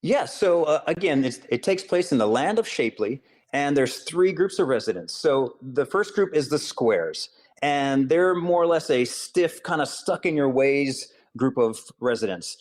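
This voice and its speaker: Guy from hawaii, author and counselor, calm earnest interview answers. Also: a little high, a little slow. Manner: earnest, steady explaining.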